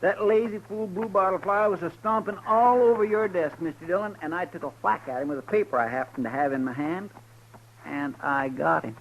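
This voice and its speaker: An actor playing a simple, eager frontier sidekick with a stammering, deferential drawl, in a 1950s radio drama. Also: male voice, sits high, a little fast. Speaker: deputy's sidekick. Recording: dull old radio recording with faint hiss.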